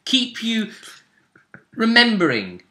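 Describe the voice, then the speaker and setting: A man telling the story of a tabletop role-playing session as a host, in a casual, halting way, acting out bits of dialogue as he goes. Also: sits high, huge pitch swings, pushed and bright.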